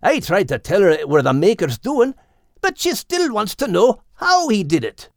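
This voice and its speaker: Storybook Scottish dog, gruff yet good-natured, swooping intonation, dry close sound. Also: male voice, high voice, big pitch swings, normal speed, no room sound, pitched above the performer's own voice. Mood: wry. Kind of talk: animated audiobook character voice.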